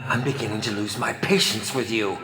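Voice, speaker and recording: An actor playing a theatrical, impatient and sinister film director in a video game, with a hammy, bossy, sarcastic manner. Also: male voice, fairly low pitch, fairly even intonation, fairly quick, bright, forceful tone. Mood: exasperated, commanding. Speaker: game villain and announcer. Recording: echoey hall reverb with music.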